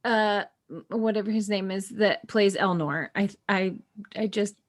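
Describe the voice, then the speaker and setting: A woman's voice: mid-range voice, fairly even intonation, a little slow. A woman on a podcast chatting casually with friends in an informal, gushing manner. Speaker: podcast co-host. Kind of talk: casual roundtable chat.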